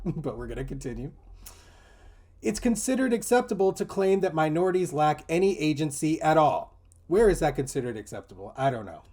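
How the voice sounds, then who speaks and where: A man with a slightly high voice hosts a group discussion. He reads from an article aloud and breaks in with his own commentary, often incredulous and mocking. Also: a little mellow. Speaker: host reading an article aloud.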